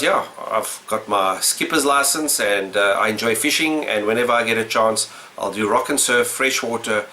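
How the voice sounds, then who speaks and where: South african guy, middle-aged, lowish voice but bright, rambles with lots of uhs, bit of hiss behind. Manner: relaxed, rambling, frequent uh and um. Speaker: gaming YouTuber. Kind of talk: casual unscripted vlog answering questions.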